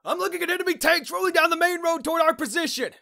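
Man acting a frantic, high-pitched soldier shouting. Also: fast talker, vocal fry, full character voice. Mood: panicked, urgent. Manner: urgent shouting. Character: panicked young male soldier under attack.

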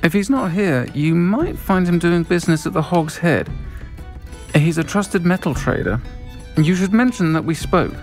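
Middle-aged witch innkeeper, creaky, conversational, frank, faint music behind, game dialogue. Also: fairly high pitch, expressive, moderate pace. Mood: frank, earnest. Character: friendly, frank witch tavern keeper, a woman.

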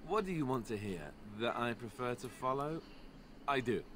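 An actor playing a reluctant young warrior with a dry, sarcastic manner in a fantasy video game. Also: male voice, medium pitch, lively intonation, normal speed, fairly bright tone, natural voice. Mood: sarcastic, irritated. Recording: clear outdoor ambience noise behind.

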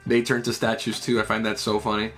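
A man's voice: fairly low pitch, completely flat delivery, fast, a bit bright. Chatty man in his thirties, breaking down a comic chapter. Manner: loose and chatty, many fillers and "right?" tags. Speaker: manga reviewer. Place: small room at home, indoors.

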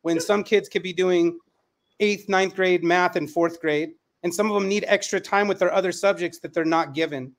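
A man's voice: high-pitched, fairly even intonation, normal speed. A middle-aged man hosting a conversational panel discussion on a video call. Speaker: host. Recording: noticeable background noise, video call.

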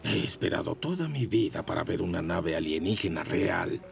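A man voicing an excitable, gravelly motorbike who is a dreamy enthusiast in a cartoon. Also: low-pitched, moderate pace, exaggerated voice. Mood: wistful. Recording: music playing behind.

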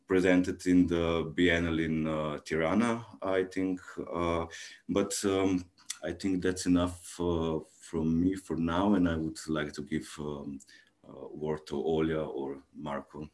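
Man, very low voice, slow and hesitant, video call panel speaker. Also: little pitch movement, darkish tone. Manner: hesitant, many filled pauses. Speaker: project coordinator of a cultural association.

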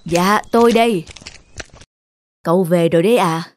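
A woman's voice: on the low side, normal speed, natural voice. Woman acting a meek older housekeeper. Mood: apologetic. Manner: deferential, hesitant.

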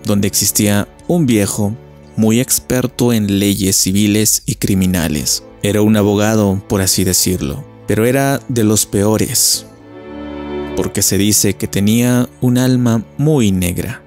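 A man's voice: on the low side, measured pace, a little mellow, soft background music. A man narrating a local legend as scripted storytelling.

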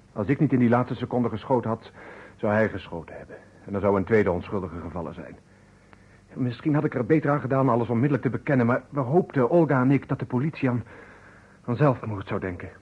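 An actor in an old Dutch radio play playing an anguished man making a confession, his voice very husky. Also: medium pitch. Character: anguished man admitting he killed to save a woman. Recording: muffled old radio recording.

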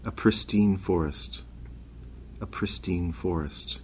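A man's voice: low-pitched, slow, a little hiss or hum. A man giving a scripted reading of poetry in brief phrases.

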